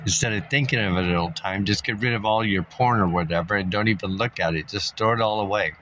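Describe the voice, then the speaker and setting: A man's voice: lowish voice, fast talker, fairly bright tone, slight background noise, poor audio. A man vlogging in a meandering, casual monologue, congested and coughing now and then, thinking aloud with many fillers. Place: sitting in a dusty spot, maybe in a car.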